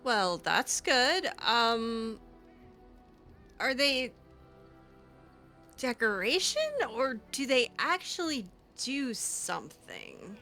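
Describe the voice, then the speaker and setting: A woman's voice: a little high, sing-song, very slow, long pauses, pushed and bright, soft background music. A woman playing a video game, improvising chatty, hesitant and effusive roleplay commentary in character. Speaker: video game player and host.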